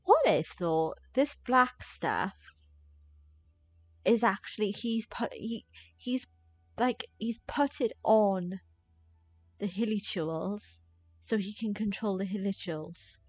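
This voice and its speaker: A woman's voice: mid-range voice, slow, slightly rough, no echo. Woman musing aloud, dry close sound. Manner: musing aloud, playfully sceptical. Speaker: game livestreamer. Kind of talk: casual live commentary on a game. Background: faint game music.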